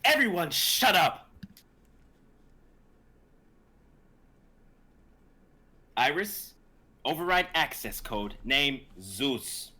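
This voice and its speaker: A young male lab assistant gone crooked, acted by a man, husky and forceful. His slow, emphatic delivery swings widely in pitch, desperate and hot-tempered, in a dramatic script reading. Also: sing-song, exaggerated voice. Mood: tense, irritated. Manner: urgent, emphatic.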